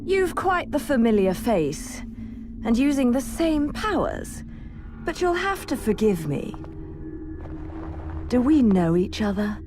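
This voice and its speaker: A woman's voice: on the high side, big pitch swings, takes it slowly, pauses often, over music. An actress playing a poised, self-assured witch heroine in a video game cutscene, teasing with arch, sardonic politeness. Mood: cool curiosity.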